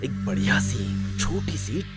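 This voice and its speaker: A man's voice: mid-range voice, sing-song, unhurried. A man playing a greedy, sly predatory snake in a children's cartoon, menacing and relishing each word. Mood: hungry, scheming. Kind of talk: children's animated moral story dialogue. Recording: loud music covering the voice. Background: loud music.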